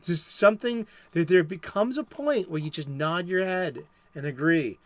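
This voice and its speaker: Brief: male host with a Boston accent, a touch high, rambling and chatty, dry close sound. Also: lively intonation, normal speed, dry recording. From Boston area, USA. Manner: rambling, many restarts and fillers. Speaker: comic book show host. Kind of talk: casual solo talk. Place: home studio.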